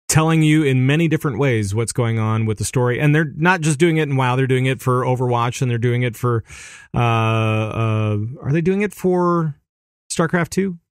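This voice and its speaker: A man's voice: medium pitch, clean tone, no room sound, studio quality. A man hosting a casual podcast in an easygoing way, with frequent fillers and laughs between thoughts.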